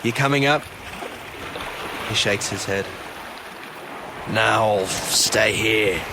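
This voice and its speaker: An actor playing an earnest, haunted fisheries officer who tells his story haltingly in a first-person audio drama. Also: lowish voice, background noise. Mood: uneasy. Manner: halting, earnest, stammering when pressed. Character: haunted male fisheries officer recounting a ghost-ship encounter. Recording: loud boat and sea ambience behind.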